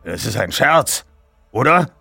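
A man reading aloud plays a heavyset newspaper boss with a short fuse. He blusters in brusque, dismissive bursts, with lively swings in pitch, as in an audiobook. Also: takes it slowly, gravelly, husky, dry recording, faint music behind, full character voice. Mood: baffled, irritated. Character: gruff, heavyset, irritable male chief editor.

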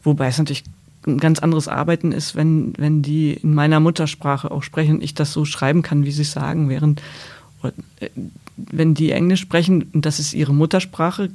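A woman guest talks about her work as a sports journalist. Her delivery is level and unvaried in pitch, and she thinks aloud, pausing and restarting her sentences as she goes. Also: fairly even intonation, darkish tone. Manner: thoughtful, hesitant restarts. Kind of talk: podcast interview answers.

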